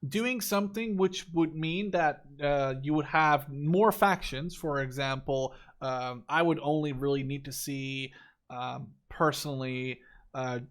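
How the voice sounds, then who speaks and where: A man's voice: a little high, unhurried. A man in his twenties giving casual video game commentary in a rambling, conversational way, often correcting himself as he goes. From Netherlands. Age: 20s. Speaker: gaming video creator.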